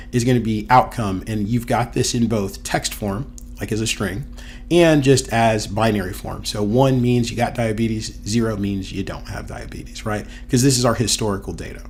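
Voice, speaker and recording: A man with a voice hoarse from a cold, teaching a casual screen-recorded data tutorial as a university instructor, thinking aloud with fillers. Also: on the low side, darkish tone, slight background noise.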